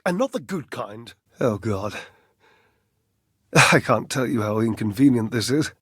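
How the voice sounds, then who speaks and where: An actor playing a flamboyant, lovelorn household-object character in a video game, theatrical, flighty and verbose, with dramatic pauses. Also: male voice, mid-range voice, lively intonation, slightly rough, dry recording, clean studio sound. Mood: exasperated, lovelorn.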